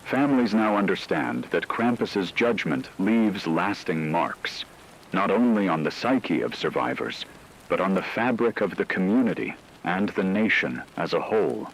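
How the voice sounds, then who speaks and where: A man playing a radio newsman who gravely reports a supernatural horror in formal, ominous scripted narration. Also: deep voice, expressive, measured pace, a little hiss or hum, exaggerated voice, poor audio.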